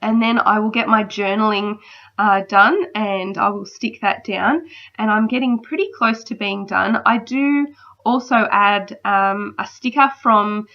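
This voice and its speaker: A woman's voice: medium pitch, a little slow. A woman in her thirties with a slight cold, chatting casually in a voice-over. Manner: chatty, relaxed, frequent ums. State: battling a cold. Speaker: crafter narrating a process video. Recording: slightly dull lo-fi sound.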